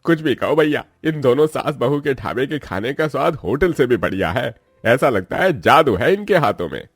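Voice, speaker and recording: A man's voice: medium pitch, sing-song, normal speed, husky, no room sound. A man playing an appreciative, hungry customer at a roadside eatery in a cartoon story, hearty and chatty. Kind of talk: animated cartoon story acting.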